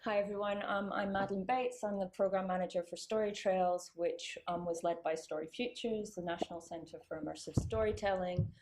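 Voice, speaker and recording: A woman's voice: mid-range voice, steady tone, brisk, hardly any pauses, darkish tone, rough recording. A woman speaking as a panelist at a conference venue, slightly hesitant, with many ums. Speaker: panelist, program manager. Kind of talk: panel talk.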